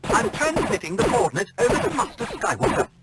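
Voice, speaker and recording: A woman's voice: on the high side, wildly swooping pitch, fairly quick. A woman voicing an alert, dutiful military subordinate in a video game, reporting in clipped, urgent phrases. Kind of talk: video game cutscene acting. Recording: echoey, distant, loud music.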